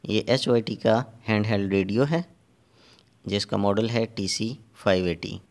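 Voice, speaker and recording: A man narrating a hands-on repair tutorial step by step, halting and repeating himself as he works. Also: on the low side, a little mellow. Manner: halting and repetitive, pausing while working. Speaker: repair technician giving a tutorial.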